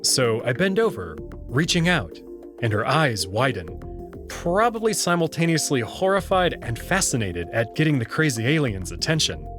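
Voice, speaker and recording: A man's voice: mid-range voice, big pitch swings, moderate pace. A man reading first-person story narration from a script. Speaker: narrator reading a visual novel aloud. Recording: music playing behind the voice.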